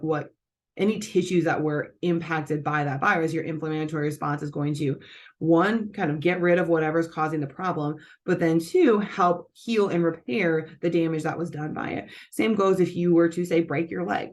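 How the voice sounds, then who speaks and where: Woman, low voice, scratchy and congested, chatty explainer, lots of ums and restarts. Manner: chatty, with many fillers and self-corrections. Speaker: nutrition channel host. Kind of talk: casual explanatory talk to camera.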